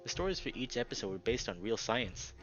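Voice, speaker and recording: A man's voice: medium pitch, sing-song, fairly quick, muffled recording, music in the background, lightly acted. A man voicing a nerdy, earnest male salamander writer in a visual novel. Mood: earnest, enthusiastic. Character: nerdy male salamander sci-fi writer, defensive but enthusiastic. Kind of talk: visual novel character dialogue.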